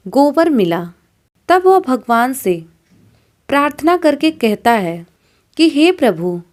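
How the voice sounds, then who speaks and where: ऊँची आवाज़ में धीरे कहानी सुनाती महिला. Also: female voice, sits high, a little mellow. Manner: didactic, addressing listeners as friends. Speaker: storyteller. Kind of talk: scripted moral story narration.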